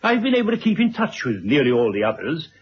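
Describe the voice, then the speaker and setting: An actor playing a genial, garrulous retired headmaster, formal and wordy, in a 1970s radio drama. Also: male voice, a little high, wildly swooping pitch, fast, slightly rough, heavily acted. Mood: genial, persistent. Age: older. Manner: formal, wordy, chuckling asides. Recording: dull old radio drama recording.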